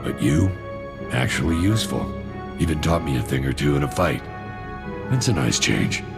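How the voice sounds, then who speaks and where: An actor playing a cynical, greedy mercenary soldier and arms dealer in a video game, with a gravelly voice and a wry, laid-back manner. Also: male voice, very animated, moderate pace, loud music behind the voice, heavily acted. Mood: pleased, confiding. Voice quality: very deep, gravelly. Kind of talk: video game cutscene dialogue.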